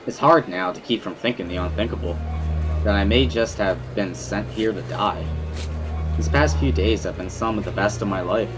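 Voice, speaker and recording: A man's voice: lowish voice, moderate pace, poor audio. A man reading a visual novel as first-person dramatic narration, in an earnest, brooding storytelling manner. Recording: music behind the voice.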